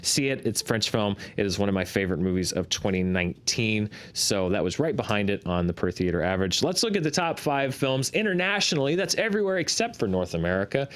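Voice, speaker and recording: A man's voice: fairly low pitch, big pitch swings, fast, hardly any pauses, fairly bright tone. A man hosting a box office show, explaining earnestly in a conversational style and often correcting himself as he goes.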